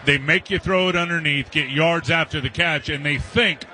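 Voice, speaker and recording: A man's voice: on the high side, normal speed, sharp tone. An American man giving conversational, explanatory analysis as a color commentator on live football radio. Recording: radio feed with faint stadium noise.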